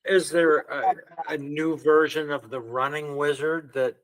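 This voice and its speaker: A male podcast host interviewing a guest, asking relaxed questions and adding short acknowledgements. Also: a little high, a little slow, low-quality recording. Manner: brief backchannel, relaxed questions.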